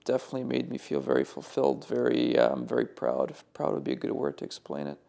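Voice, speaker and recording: Gravelly young man, lively halting speech. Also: mid-range voice. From Canada. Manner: halting, restarts and fillers, emphatic. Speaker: professional Ukrainian dancer. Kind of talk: interview answer.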